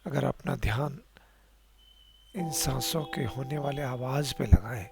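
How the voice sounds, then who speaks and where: A man with an Indian accent leading a guided meditation as a teacher, in a calm, soft, pausing manner. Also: medium pitch, gravelly. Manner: slow, pausing, calm guidance with soft delivery. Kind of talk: guided meditation instruction, alternating Hindi and English. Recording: soft meditation music behind voice. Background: music.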